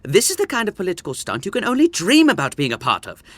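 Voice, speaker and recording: A man's voice: high voice, wildly swooping pitch, quick pace, no echo, exaggerated voice. An actor playing a theatrical, condescending older brother in a comedy audio drama, savoring his words as he meddles. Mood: smugly persuasive. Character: theatrical, smug, meddling older brother and self-appointed schemer. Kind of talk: modern romantic comedy audio drama acting.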